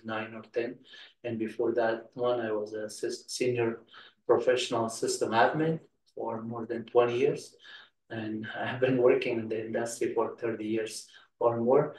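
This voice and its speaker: Echoey, halting Afghan-accented man. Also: medium pitch, darkish tone, slight background noise. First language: Persian (Farsi). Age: middle-aged. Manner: halting, meandering delivery. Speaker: computer science instructor. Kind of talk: informal unscripted tutorial talk. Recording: roomy echo, distant microphone.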